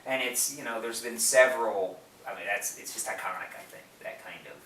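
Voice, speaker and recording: A man with a bright voice co-hosts a casual film discussion. He rambles along emphatically in an echoey room, picked up from a distance with faint noise behind him. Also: fairly low pitch, a little fast. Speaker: podcast co-host.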